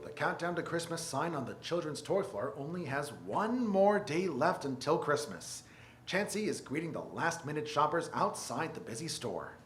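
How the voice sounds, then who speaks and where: An actor playing a businesslike narrator and store clerk in a 1940s radio drama. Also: male voice, on the high side, expressive, moderate pace, natural voice. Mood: narrating. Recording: echoey theater.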